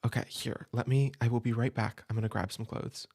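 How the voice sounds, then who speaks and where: A man playing a gentle, gravelly older brother. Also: medium pitch, fairly even intonation, fairly quick. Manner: gentle, reassuring, soft-spoken, dropping to whispers. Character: caring older brother sheltering a younger sibling. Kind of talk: comfort audio roleplay. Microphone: home desk mic.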